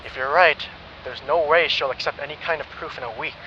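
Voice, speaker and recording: A man's voice: on the high side, brisk, dull audio, a little hiss or hum, natural voice. A man playing a tired, caring ally. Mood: concerned.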